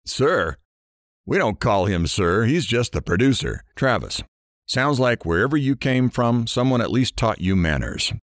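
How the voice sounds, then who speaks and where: A man's voice: medium pitch, very animated, measured pace, no echo, studio quality. An actor playing a brash, sarcastic morning radio DJ with irreverent showman banter in an audio drama. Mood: teasing. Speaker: radio DJ host.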